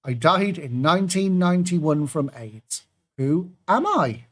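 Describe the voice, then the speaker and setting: A man's voice: a little high, sing-song, measured pace, a bit bright. A man hosting an online pub quiz, reading out clues and adding jokey asides between them.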